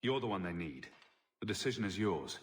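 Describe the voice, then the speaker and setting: Lively, sardonic adventurer. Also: male voice, deep voice, fairly quick. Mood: blunt. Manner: sarcastic, blunt. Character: sardonic male adventurer hero, brash, impatient. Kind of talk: video game voice acting.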